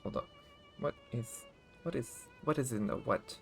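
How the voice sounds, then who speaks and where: A man with a medium-pitched voice streams a game over its soft music. He reacts casually, thinking out loud in informal, halting asides. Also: wildly swooping pitch, gravelly.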